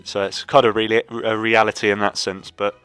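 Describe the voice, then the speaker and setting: A man's voice: fairly low pitch, level tone, fairly quick, a bit bright, clean tone, dry recording, faint music behind. A nineteen-year-old man from England rambling casually about travel. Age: teens. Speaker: interviewee.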